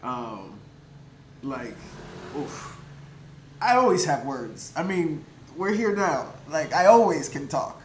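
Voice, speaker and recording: A man's voice: a little high, takes it slowly. Chatty man telling a story in a roomy space. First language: English. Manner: chatty, lots of "like" and "um" fillers, laughs between thoughts; animated, gets louder at exciting moments. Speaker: vlogger telling a personal story. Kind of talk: casual storytelling to camera. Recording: roomy echo, faint background noise.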